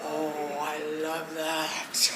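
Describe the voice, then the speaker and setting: A woman's voice: low voice, completely flat delivery, very slow, bright, forceful tone, full character voice. A woman on stage playing an effusive, exclamatory actress who worships her playwright, in a comedic play. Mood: rapturous. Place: live stage at a one-act play festival. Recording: roomy stage sound with noise.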